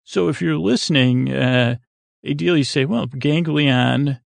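A man with a gravelly voice hosting a sleep podcast, rambling whimsically and haltingly, often trailing off and circling back. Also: a little high, no room sound. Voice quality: very creaky, gravelly. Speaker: podcast host.

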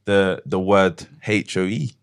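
A man's voice: deep voice, slow, vocal fry, clean tone. A young man, a co-host asking pointed, teasing questions in casual banter.

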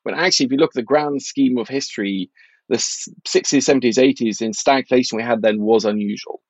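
A man's voice: mid-range voice, fairly quick, no echo. Blunt middle-aged man, slightly muffled dry sound. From Norway. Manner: opinionated, blunt, informal. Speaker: financial journalist and author, interview guest. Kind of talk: casual analytical interview talk.

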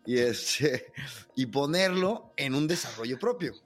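A man's voice: mid-range voice, expressive, slow pace, soft background music. Curious man interviewing, playful. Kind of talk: casual interview questions.

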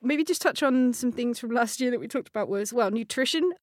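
A woman's voice: a little high, expressive, brisk, dry recording, studio quality. A woman hosting an interview, encouraging the guest and asking follow-up questions. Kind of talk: casual interview chat.

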